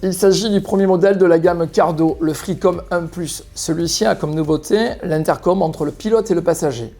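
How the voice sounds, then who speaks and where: Male product presenter, mellow and creaky delivery, explanatory, addressing viewers over background music. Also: fairly high pitch, normal speed. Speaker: motorcycle shop product presenter. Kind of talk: product presentation, semi-scripted.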